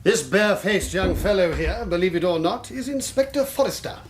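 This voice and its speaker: A bluff, excitable country gentleman in a radio drama. The man's voice is high and very animated. He comes across as hearty, teasing and full of bluster. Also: a little fast, a little hiss or hum.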